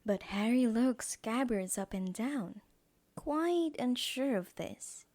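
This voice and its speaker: A woman's voice: fairly high pitch, lively intonation, takes it slowly, darkish tone. A woman reading scripted narration as the narrator of an audio drama.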